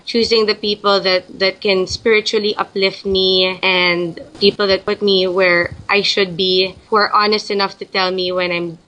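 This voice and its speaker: A woman's voice: mid-range voice, flat delivery, moderate pace, hardly any pauses, bright, forceful tone, rough recording. A young woman from the Philippines, a guest in an interview, talking casually and thinking aloud with frequent restarts.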